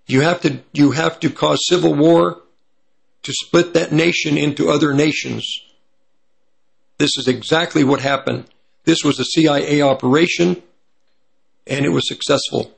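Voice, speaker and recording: A man with a slightly raspy voice from hay fever, giving prophecy commentary as he reads from an article and stops to correct himself. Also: on the high side, fairly even intonation. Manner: pauses to correct himself mid-sentence.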